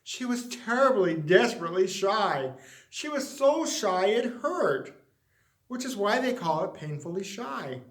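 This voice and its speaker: A man reading a children's story expressively, with dramatic, drawn-out emphasis on words. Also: high voice, big pitch swings, echoey room. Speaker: story reader.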